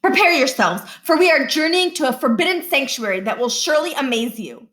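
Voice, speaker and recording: A showy storybook schoolboy, performed by a woman reader, pompous and grand. He declaims theatrically, raised in pitch above plain talk. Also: expressive, a little fast, fairly bright tone. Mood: grandiose. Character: showy, pompous schoolboy presenting his report.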